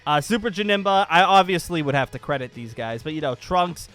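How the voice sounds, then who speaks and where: A man giving a casual gaming channel update as host, rambling along in an excitable, emphatic way. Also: a little high, a little fast. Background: background music.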